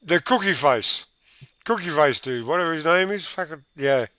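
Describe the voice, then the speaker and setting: A man's voice: on the high side, expressive, moderate pace, a crowd in the background. A man with a Yorkshire accent, hosting a livestream and chatting casually on a group call, laid-back and hesitant, laughing often and swearing. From Yorkshire, England. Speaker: livestream host.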